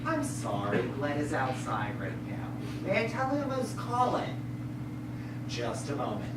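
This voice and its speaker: High-voiced, frazzled woman, acted in echoey stage farce. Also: animated, moderate pace. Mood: politely flustered. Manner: flustered, emphatic, theatrical. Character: frazzled, exasperated party guest trying to manage chaos. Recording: very echoey, noisy, far from mic.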